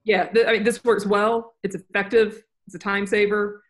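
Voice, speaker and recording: A woman's voice: mid-range voice, flat delivery, normal speed. A woman producing online courses, talking in a casual, rambling and upbeat way on a video call. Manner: rambling, upbeat, self-correcting.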